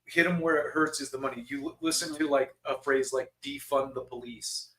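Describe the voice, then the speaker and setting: A man from Utah, a podcast co-host, talking in a casual, profane and animated way. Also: mid-range voice, brisk, roomy sound, poor audio. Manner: animated, profane, swings into mocking quoted voices. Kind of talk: casual conversation.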